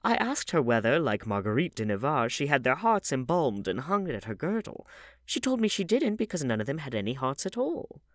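Man as a flippant, animated young gentleman. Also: medium pitch, a little fast, no room sound, clean studio sound, voice pushed up, close to an everyday voice. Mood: amused.

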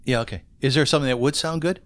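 A man's voice: medium pitch, racing, a bit bright. A man hosting a podcast, chatting casually and putting questions to a guest. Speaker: podcast host, interviewer. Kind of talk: casual chat, quizzing a guest.

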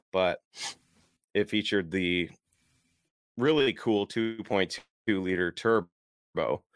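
Male hobby-show host, low voice, hoarse and worn, easygoing rambling delivery, dry recording. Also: no echo. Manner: easygoing, chuckles often, rambling enthusiast. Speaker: host of a car enthusiast show. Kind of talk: casual solo chat to listeners.

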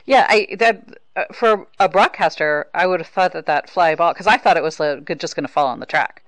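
Low-voiced American woman hosting a sports podcast. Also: brisk. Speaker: podcast host, baseball beat writer. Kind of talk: conversational sports interview.

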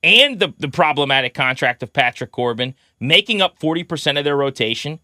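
Bright, forceful male sports podcast host. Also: on the high side, lively intonation, a little fast, no echo. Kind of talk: conversational sports commentary.

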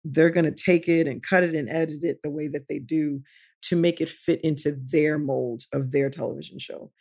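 Male actor guest, slightly high, gravelly, chatty with filler words. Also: normal speed. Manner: animated, frequent "like" fillers. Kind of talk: conversational interview answer.

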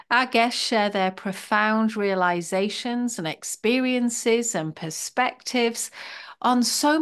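A woman hosting a podcast, introducing the episode and welcoming guests in a measured, reflective way. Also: mid-range voice. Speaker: podcast host.

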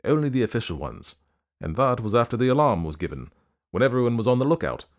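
A harried young gentleman in his thirties , as a male narrator plays him, pressing his points with earnest, exasperated emphasis. His voice moves widely in pitch, lively and animated, in a storybook reading. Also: fast.

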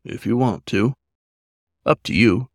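A man voicing a wise, gentle male werewolf in a story, speaking in a measured, folksy, reassuring way. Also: on the low side, dry recording, clean studio sound. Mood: gentle, sincere.

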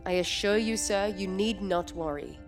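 An actress playing a composed, reassuring doctor on a military project in an audio drama. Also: female voice, mid-range voice, moderate pace, over music, close to an everyday voice. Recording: clear background noise.

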